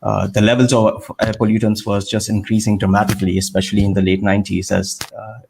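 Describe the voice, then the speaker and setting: Thirties man from India, measured explainer, low voice, video call with faint background noise. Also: level tone, vocal fry, a little mellow, low-quality recording. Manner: measured, explanatory, with frequent "uh" fillers. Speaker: guest scientist. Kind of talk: interview answers.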